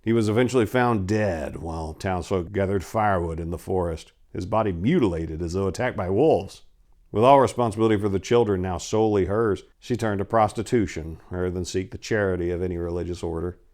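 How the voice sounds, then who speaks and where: Male host and narrator, low-pitched, theatrical and emphatic, suited to dramatic readings of tales. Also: fairly quick, darkish tone. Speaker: channel host and story reader.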